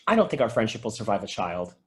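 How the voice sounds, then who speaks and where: A man's voice: fairly low pitch, sing-song, fast. Goofy, chatty male video store clerk, acted. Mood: animated, dramatic. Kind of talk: table read of a comedy TV pilot.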